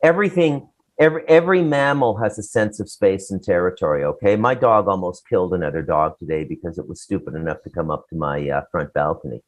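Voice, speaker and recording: A man's voice: low-pitched, fast. A man co-hosting a casual talk show, giving opinions in a rambling, blunt, profane way. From Canada.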